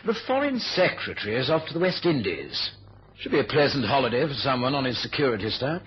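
A man playing a genial older British politician in a 1970s radio drama, urbane and polite with gentle hesitations and a husky voice. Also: medium pitch, big pitch swings, fast, a little hiss or hum, lightly acted. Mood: sly, genial. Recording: muffled, lo-fi old radio recording.

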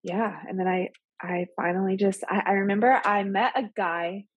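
A woman's voice: mid-range voice, fairly even intonation, moderate pace, husky, no room sound, lo-fi sound. A woman in her thirties, a little sick and nasal, chatting casually as a podcast guest with lots of fillers. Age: 30s. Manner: chatty, rambling with many fillers and laughs. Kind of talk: casual interview chat.

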